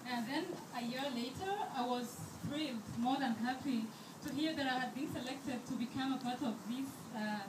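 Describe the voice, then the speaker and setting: A woman's voice: sits high, moderate pace, hardly any pauses. A woman in her twenties from Rwanda giving an earnest speech at a fundraiser, with many fillers and restarts. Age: 20s. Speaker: scholarship student giving a speech. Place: event hall. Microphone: handheld microphone held too far away. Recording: echoey hall, distant mic, background noise.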